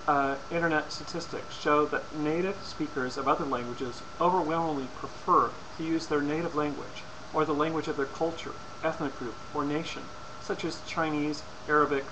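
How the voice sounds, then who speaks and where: Man reading a formal lecture, noisy recording. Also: a little high. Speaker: presenter. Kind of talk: scripted persuasive lecture, read aloud. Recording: clearly noisy amateur recording.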